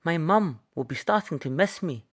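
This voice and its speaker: A man's voice: a little high, expressive, moderate pace, a bit bright, voice pushed up. A man voicing a plucky, curious, polite little girl in a children's storybook. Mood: earnest, bright.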